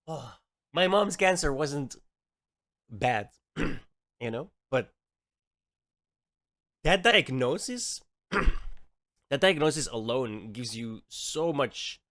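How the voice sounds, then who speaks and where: A man's voice: mid-range voice, fairly bright tone, no room sound, studio quality. A man from Belgium with a Belgian Dutch accent, a native Dutch speaker, ranting and swearing as he reacts to a clip on his stream. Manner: heated ranting, swears often. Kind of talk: reaction commentary.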